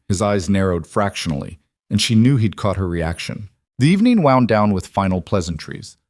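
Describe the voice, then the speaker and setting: Male narrator for a dark audio story, low and measured, dry close recording. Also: dry recording.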